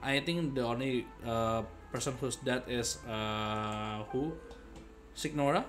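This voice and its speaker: A man's voice: medium pitch, takes it slowly, a bit bright. Casual young man streaming, music behind. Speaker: game streamer. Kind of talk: casual reaction commentary on a game. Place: indoor room at desk, webcam stream. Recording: game music faintly behind.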